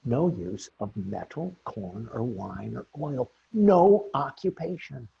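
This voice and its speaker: A very husky, gravelly old courtier, acted by a man, musing on his ideal world in Shakespearean verse. He declaims slowly in short, halting phrases. The sound is dull, as on a video call. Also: mid-range voice, very animated, exaggerated voice. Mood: grand, rapturous. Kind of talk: staged Shakespeare monologue.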